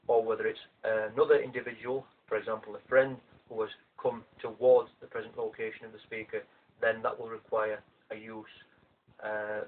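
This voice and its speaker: A man with a South Yorkshire accent talks through a language lesson, slow and methodical. His voice is a little husky. It sounds tinny, as if through a phone line, and he is some way from the phone's mic. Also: medium pitch. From South Yorkshire, England. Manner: measured, methodical explaining. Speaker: language teacher. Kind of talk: explanatory video lesson. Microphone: mobile phone camera. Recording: tinny, distant phone recording.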